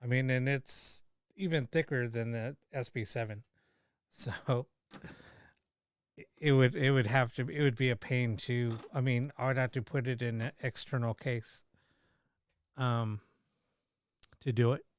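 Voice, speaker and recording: A man hosting a paranormal livestream, chatting casually with many fillers and restarts, his voice slightly hoarse. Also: medium pitch, fairly even intonation, measured pace, long pauses, no room sound. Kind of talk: casual live-stream chat.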